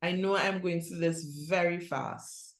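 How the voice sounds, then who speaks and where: A woman's voice: lowish voice, fairly even intonation, unhurried, echoey room. A middle-aged woman with a Trinidadian accent giving a conference presentation on youth ministry, talking in a direct, conversational way. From Trinidad and Tobago. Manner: conversational, direct, with restarts and rhetorical questions.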